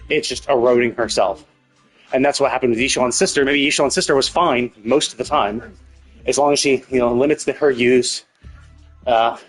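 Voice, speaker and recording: Male streamer, mid-pitched, conversational game commentary, rambling and self-correcting, music underneath. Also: rough recording. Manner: rambling, thinking aloud, repeating and rephrasing himself. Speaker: live streamer. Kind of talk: casual speculative game commentary.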